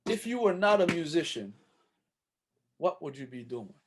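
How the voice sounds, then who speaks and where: A man hosting a casual podcast, playful and full of praise for his guest, stammering when excited. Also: fairly high pitch, moderate pace, background noise. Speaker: podcast host. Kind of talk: casual chat.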